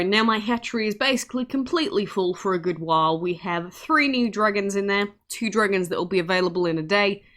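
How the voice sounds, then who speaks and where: A woman's voice: medium pitch, a bit bright. Woman chatting over game music. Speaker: gaming video host. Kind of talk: casual gameplay commentary.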